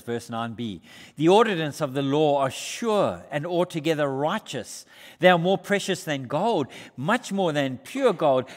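An older man preaching a sermon in a church, shifting between raised, emphatic passages and hushed, low ones. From Australia. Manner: gestures broadly while preaching. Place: church hall with brick walls. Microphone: far camera. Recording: reverberant church hall.

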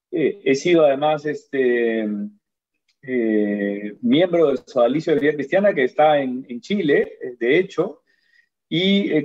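A man with a Peruvian accent talks through interview questions over a video call, pausing often on fillers. His voice sits a little high. The laptop audio sounds dull and lo-fi. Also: darkish tone. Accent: Peruvian Spanish. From Peru. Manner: hesitant, many fillers. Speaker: interviewer, psychologist. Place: home room, video call.

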